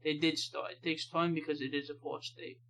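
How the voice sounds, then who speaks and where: A man's voice: a little high, fairly even intonation, a little fast, a bit bright. An American man hosting a reaction video, chatting casually and reading comments aloud with laid-back, offhand asides. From United States.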